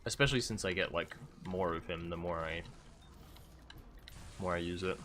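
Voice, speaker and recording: A man's voice: sits low, moderate pace, a bit bright, slight background noise. A man with a stuffy, congested voice giving rambling, self-mocking commentary as he streams a game. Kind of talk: casual gameplay commentary.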